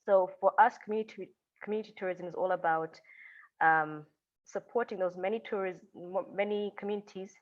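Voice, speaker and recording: A woman giving halting opening remarks as host of a webinar, with fillers and restarts. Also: mid-range voice, steady tone. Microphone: video call. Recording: dull lo-fi video call audio.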